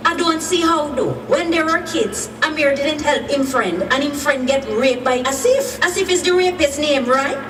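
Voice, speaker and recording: A woman's voice: high-pitched, animated, moderate pace, hardly any pauses. A woman playing a puzzled, questioning schoolgirl in a radio drama. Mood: doubtful, puzzled. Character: puzzled schoolgirl with non-standard grammar, questioning. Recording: echoey, distant, noisy.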